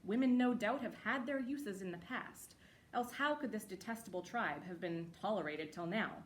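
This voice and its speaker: A woman's voice: medium pitch, moderate pace, a little hiss or hum. A woman reading a formal letter aloud as a dramatic performance, arch and emphatic with mock earnestness. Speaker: actor reading historical letters.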